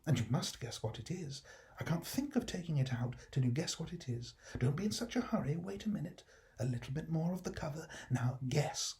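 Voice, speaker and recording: Storybook daughter role, male performer: gentle, eager young woman, higher-pitched, affectionate and playfully delighted. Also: expressive, brisk. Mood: playful teasing. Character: gentle, cheerful young working-class woman, fondly teasing. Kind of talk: acted classic storybook reading.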